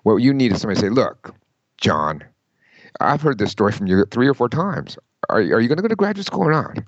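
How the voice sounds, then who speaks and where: A man giving career advice in an interview, emphatic and lively, often quoting people in mock voices. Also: mid-range voice, fast talker, no room sound, lo-fi sound. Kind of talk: conversational interview.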